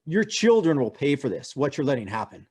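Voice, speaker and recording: A man's voice: mid-range voice, sing-song, fairly quick, darkish tone, slightly rough. A man playing an intense, desperate and menacing conspiracy believer in a one-act play. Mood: threatening. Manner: pleading, threatening, intense.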